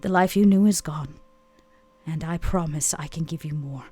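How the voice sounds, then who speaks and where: A woman playing a commanding, ageless vampire woman in a tabletop game, tender yet businesslike, with measured, formal phrasing. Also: deep voice, warm tone, husky. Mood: solemn, devoted. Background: music.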